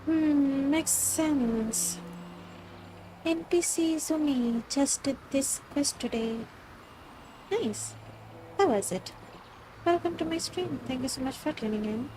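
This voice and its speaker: A woman's voice: high-pitched, slow, pauses often, noisy background. A woman with an Indian accent, chatting casually and reacting as she livestreams a game.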